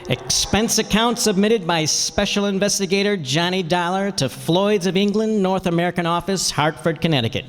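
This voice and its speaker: An actor playing a businesslike insurance investigator in old-time radio detective style, matter-of-fact, clipped and inquisitive. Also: male voice, high voice, moderate pace, few pauses, lightly acted. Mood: wry, businesslike. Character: brisk, businesslike male insurance investigator narrating his case. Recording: roomy hall with long reverb.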